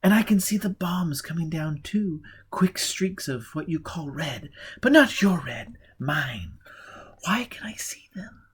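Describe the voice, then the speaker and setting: A man reading a story aloud, voicing an aloof highborn lady in high, breathy whispers that turn dreamy and disdainful. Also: expressive, a little slow, full character voice. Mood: dreamy, mocking. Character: aloof highborn blind lady, rapt and mocking.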